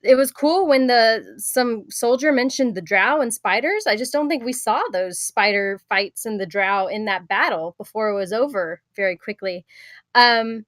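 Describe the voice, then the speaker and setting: A woman with a somewhat high voice offers casual critique. Her phrasing rambles and halts as she works through her thoughts. The sound is dry, with very little room. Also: moderate pace, a bit bright, no echo. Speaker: table reader giving script feedback.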